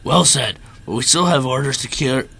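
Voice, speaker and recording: A man's voice: mid-range voice, moderate pace, vocal fry, sharp tone, slight background noise. A man playing a stern, dutiful army officer in an amateur radio drama, speaking with a commanding manner. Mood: firm.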